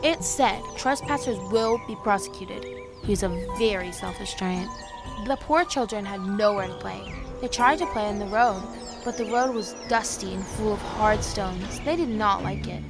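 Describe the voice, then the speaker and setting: A gentle young woman, played by an actress, reads a storybook tale aloud over clear background music. Her voice sits at a middle pitch, and her telling is measured and earnest. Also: lightly acted. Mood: earnest storytelling. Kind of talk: storybook narration in an audio drama.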